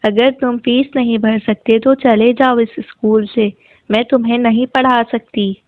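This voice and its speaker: A stern, money-minded village schoolteacher played by a woman in a cartoon tale. She is blunt and unyielding, slow in pace and slightly high in pitch. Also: steady tone, dry recording, lightly acted. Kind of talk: cartoon moral-story dialogue. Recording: thin, phone-like, dry audio.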